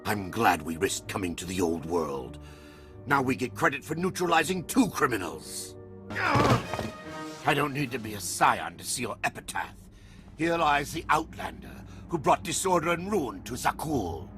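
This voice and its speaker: Self-righteous knight out for revenge, game cutscene, threatening, hugely varied intonation, faint music. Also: male voice, mid-range voice, very animated, unhurried, a bit bright. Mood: gloating. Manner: threatening, declamatory. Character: vengeful, self-righteous male lawman knight. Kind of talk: video game cutscene acting.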